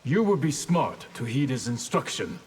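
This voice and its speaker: An actor playing a stern, dignified ninja mentor in a video game, grave and admonishing. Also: male voice, medium pitch, sing-song, normal speed, natural voice. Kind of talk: video game fight banter. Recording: reverberant game audio.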